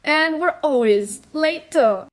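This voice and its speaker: Casting: scared little schoolgirl under questioning, high-pitched, pleading and defensive, crime radio play. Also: animated, takes it slowly. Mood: explaining. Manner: protesting, pleading. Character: frightened, defensive young schoolgirl.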